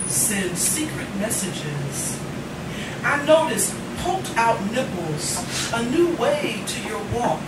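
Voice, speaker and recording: A middle-aged woman reading poetry live, with deliberate, dramatic phrasing and pauses. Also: on the high side, big pitch swings, recorded from a distance. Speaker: poet, featured reader. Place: indoor cafe reading. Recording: noisy, echoey room recorded from afar.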